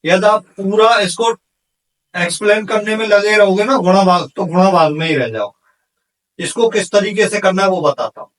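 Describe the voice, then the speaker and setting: A man's voice: high voice, moderate pace, recorded from a distance, rough recording. A man teaching exam problems step by step, asking questions and answering them himself in an instructional manner. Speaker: physics teacher for exam candidates.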